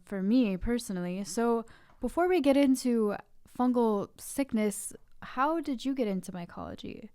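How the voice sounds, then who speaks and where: A woman's voice: medium pitch, darkish tone, clean tone. A woman hosting a podcast, moving between friendly interview questions and read explanations. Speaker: podcast host. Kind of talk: casual interview and scripted narration.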